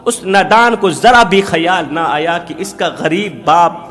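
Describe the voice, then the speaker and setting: A man's voice: high voice, expressive, measured pace, a bit bright. A man preaching a moral story in a dramatic, emotive way, emphatically repeating his phrases. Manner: emphatic, rhetorical repetition. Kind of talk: Urdu-style religious sermon storytelling, oratory. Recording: long hall-like reverb on voice.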